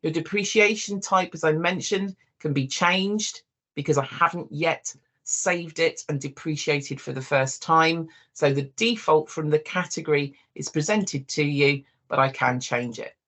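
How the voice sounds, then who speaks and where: A software demonstrator giving a live walkthrough, explaining step by step in an informal way and addressing viewers directly. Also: mid-range voice, measured pace.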